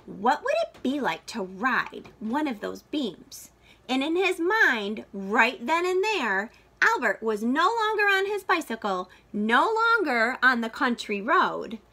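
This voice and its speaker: A woman reading a children's picture book aloud like a teacher, with expressive, deliberate and dramatic phrasing for young listeners. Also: on the high side.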